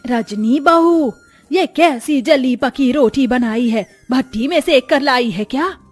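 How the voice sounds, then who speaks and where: A woman's voice: sits high, takes it slowly. A middle-aged matriarch, acted by a woman, domineering and emphatic. She passes judgement in cartoon moral-story style, with music under the voice. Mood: scornful. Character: domineering middle-aged mother-in-law, effusive then scornful.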